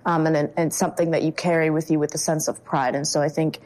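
A woman national team player giving thoughtful, measured interview answers. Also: low-pitched, level tone, a little mellow. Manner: thoughtful, measured, many restarts.

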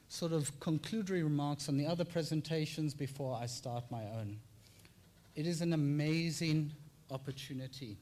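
A man from South Africa with a South African accent, giving a measured, formal conference presentation and using rhetorical repetition. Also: on the high side, a little mellow, a little hiss or hum. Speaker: tax clinic presenter.

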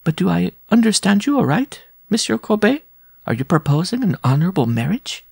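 Storybook giantess, male performer in falsetto-high register: big French lady, coy, teasing, mock-polite. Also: sing-song, fairly quick, vocal fry, a little mellow, husky, no room sound, studio quality, heavily acted. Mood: mock-earnest. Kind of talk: storybook character voice.

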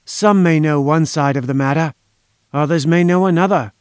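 A man's voice: fairly high pitch, a little mellow, clear, resonant tone, dry recording, clean studio sound, close to an everyday voice. Man voicing an oily, velvety foreign schemer. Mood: boastful. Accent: slight foreign. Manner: slow, obsequious, deliberate. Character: oily, menacing, boastful foreign inventor-conspirator, a man.

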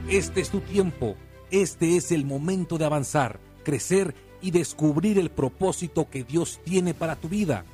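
A man reading a scripted church program promo in an upbeat, inviting manner. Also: fairly high pitch. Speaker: radio announcer.

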